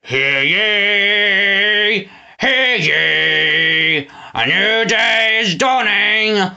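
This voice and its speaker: A scheming storybook villain, full of himself, who swings from a low growl to a fussy frilly voice, put on by a reader. His pitch leaps about wildly with a bright, forceful tone. He drags out his boasts slowly. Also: animated, vocal fry, smooth, muffled recording, full character voice. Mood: boastful. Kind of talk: storybook read-aloud.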